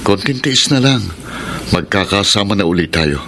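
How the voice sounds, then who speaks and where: A man's voice: normal speed, slight background noise. An actor playing an earnest married man torn by guilt and devoted to his family, in a radio drama. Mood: tender. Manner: earnest, low and steady.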